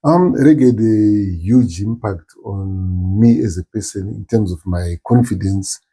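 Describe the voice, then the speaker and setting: A middle-aged man with a strong Zimbabwean accent, answering interview questions thoughtfully with many pauses and restarts. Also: on the low side, warm tone, slightly rough. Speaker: interview guest, musician. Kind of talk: relaxed interview talk.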